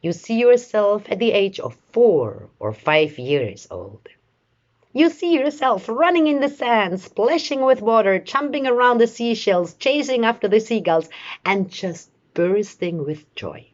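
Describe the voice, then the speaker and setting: A woman leading a guided meditation in a soothing, measured way, with long pauses between short phrases. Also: mid-range voice, sing-song, a little mellow, muffled recording. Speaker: meditation guide, life coach.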